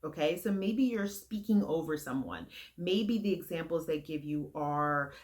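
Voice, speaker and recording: A young adult woman giving frank, earnest advice to camera in a heavy, loud voice that carries. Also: sits low, animated, a little slow. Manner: frank and earnest, with fillers and restarts. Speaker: vlogger giving career advice.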